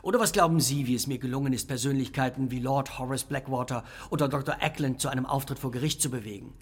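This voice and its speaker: Actor as a smug, husky-voiced villain. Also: male voice, mid-range voice, fast, lightly acted. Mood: smug, defensive. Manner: self-assured, formal. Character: smug, self-righteous male villain, unbowed blackmailer. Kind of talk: radio play acting.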